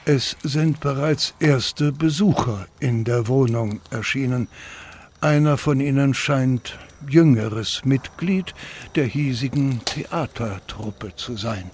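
A man acting as a fussy Parisian landlord delivers his informant's report in a radio play. He speaks slowly at a medium pitch, with stilted, prim formality, over faint background noise. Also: a little mellow, natural voice. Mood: prim, disapproving. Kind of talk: radio play monologue, formal written report read aloud.

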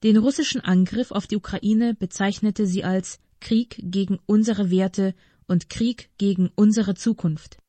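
Woman, formal article narration, measured delivery, mellow dark tone, dry recording. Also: medium pitch, fairly even intonation, no room sound. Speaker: reader of a press article podcast.

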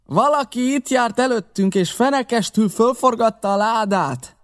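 A man voicing a rough pirate henchman in an audiobook, urgently shouting reports to his leader. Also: very high-pitched, voice pushed up. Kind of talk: audiobook character acting.

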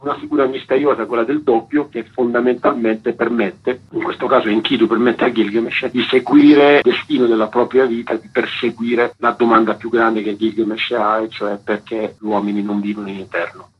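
A man's voice: medium pitch, level tone, fairly quick, hardly any pauses, husky. A man speaking as a guest author in a radio interview, explaining his work articulately in long, flowing sentences. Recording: dull, lo-fi radio line.

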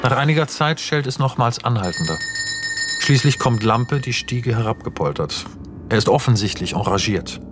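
A man narrating a radio drama, reading scene directions in a measured, detached, matter-of-fact way. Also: mid-range voice, lively intonation, music in the background, natural voice. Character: sober, detached male narrator of stage directions.

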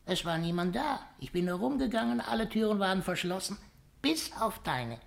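A proud little dwarf acrobat in a vintage radio play. The voice is creaky and leaps up and down, sardonic and world-weary, moving between hushed and sharp. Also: mid-range voice, animated, normal speed. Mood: hushed, uneasy. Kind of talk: 1950s German radio play acting.

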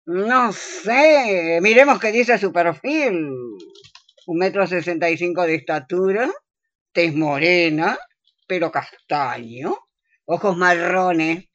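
A woman's voice: fairly low pitch, slow, lo-fi sound. An actress playing a bossy, eager older Argentine woman in a comic radio play, emphatic and exclamatory. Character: bossy, eager older woman, ringleader among three friends.

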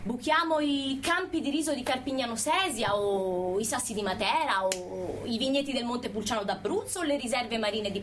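A woman's voice: fairly high pitch, sharp tone, slight background noise. A woman performing an indignant researcher-activist in a dramatic protest monologue, pointed and biting, full of rhetorical challenges.

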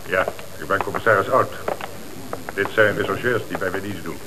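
An actor playing a calm, businesslike police inspector in a radio play, with a Netherlands Dutch accent. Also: male voice, deep voice, moderate pace, natural voice. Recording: hissy old radio drama recording.

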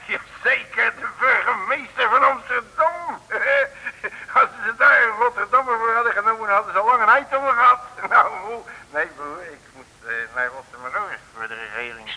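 High, sharp-voiced cocky detective. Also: male voice, expressive, normal speed, hardly any pauses, exaggerated voice. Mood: blustering. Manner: colloquial, blustering, exclamatory. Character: cocky, folksy male detective in a comic serial. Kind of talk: 1950s-60s Dutch comic radio play acting. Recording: old radio recording, boomy reverb.

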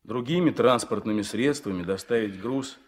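An actor playing an earnest young Komsomol organiser in a Soviet radio play, speaking haltingly but persuasively. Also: male voice, on the low side, natural voice. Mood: earnest, hesitant. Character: earnest, hesitant young Komsomol organiser pleading his cause. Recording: vintage 1970s mono radio recording.

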